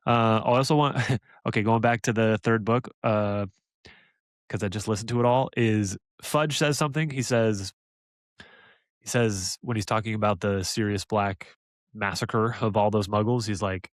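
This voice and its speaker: A man co-hosting a casual fan podcast, talking excitedly and pitching ideas with laughs. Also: moderate pace, dry recording, clean studio sound. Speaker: podcast co-host.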